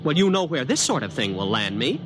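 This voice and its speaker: A man's voice: mid-range voice, sing-song, fairly quick, music in the background, close to an everyday voice. An actor in a 1950s radio drama playing a wisecracking, stammering, sarcastic young male student. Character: flustered, sarcastic young male physics student in New York. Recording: noisy vintage 1950s radio recording.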